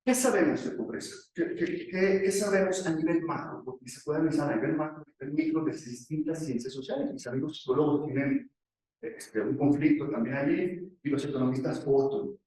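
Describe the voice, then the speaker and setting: A man's voice: a little high, a little fast, darkish tone. A male academic economist giving an explanatory conference presentation, posing questions and answering them himself. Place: echoey conference session room. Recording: very echoey room, distant microphone.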